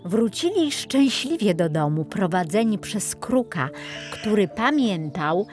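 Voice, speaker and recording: Female storyteller, unhurried pace, mid-pitched, measured dramatic fairy-tale narration, faint music underneath. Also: very animated, a little mellow.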